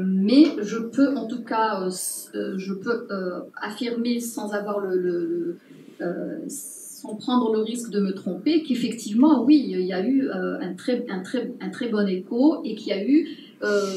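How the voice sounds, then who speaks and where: Woman, anthropologist at a public talk, halting delivery with many fillers, roomy distant sound, faint ambience. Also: medium pitch, darkish tone, slight background noise. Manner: hesitant, many fillers and restarts. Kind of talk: unscripted talk to an audience.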